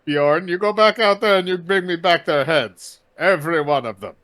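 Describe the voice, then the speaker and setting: A man's voice: on the high side, normal speed, sharp tone, voice pushed up. A man voicing an angry, demanding Viking father barking orders, as a joke during gameplay. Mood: angry, commanding.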